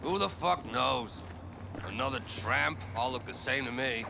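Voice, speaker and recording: An actor playing a hostile, drunken, bullying father in a video game, gravelly and belligerent. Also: medium pitch, a little slow, noisy background. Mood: weary contempt. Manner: belligerent, swearing, barking orders.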